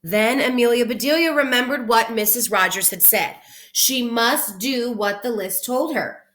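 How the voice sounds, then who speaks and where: A woman speaking as an elementary teacher, expressive and encouraging, in simple words for children and their families. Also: on the high side, normal speed. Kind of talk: children's read-aloud with casual talk to families.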